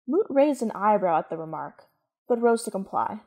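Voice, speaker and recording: A woman narrating a scripted story in a measured, literary manner. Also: mid-range voice, very animated, mellow.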